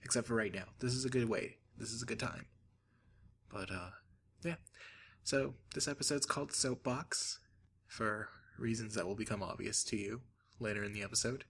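A man hosting a podcast, giving a relaxed, wry introduction to the episode. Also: fairly low pitch, normal speed, slight background noise. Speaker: podcast host.

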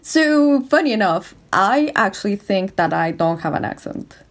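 A woman's voice: medium pitch, expressive, moderate pace. A woman scientist from Colombia with a Colombian Spanish accent, speaking candidly and chattily. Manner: candid, self-aware, chatty. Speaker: postdoctoral biologist.

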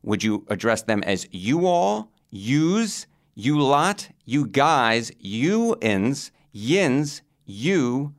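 A man's voice: medium pitch, very animated, drawn-out words, few pauses, no echo, clean studio sound. A man with a New York accent hosting a podcast, narrating in a curious, storytelling way and quizzing a guest. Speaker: podcast host.

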